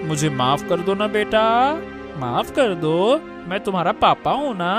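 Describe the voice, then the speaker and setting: A man's voice: sits high, lively intonation, a little slow, over music. A man voicing a troubled, apologetic village father in a cartoon story, coaxing and explaining. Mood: pleading.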